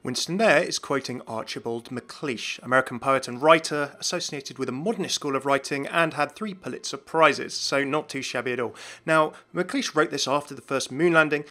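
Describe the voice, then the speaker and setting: A man's voice: medium pitch, brisk, pushed and bright. A man hosting a gaming news video in scripted, explanatory voice-over narration. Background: music.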